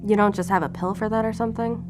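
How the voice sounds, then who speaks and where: A woman's voice: medium pitch, steady tone, fast, over music, close to an everyday voice. An actress playing a guarded, sarcastic female agent in an audio drama, speaking bluntly and casually in a naturalistic way. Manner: sardonic, deflecting, casual and blunt.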